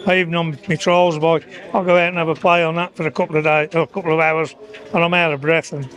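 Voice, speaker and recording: An older man who has retired from motorcycle racing, speaking with a croaky voice and rambling with repeated words in a casual interview. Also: on the high side, flat delivery, fast, fairly bright tone. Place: marquee at an outdoor bike event.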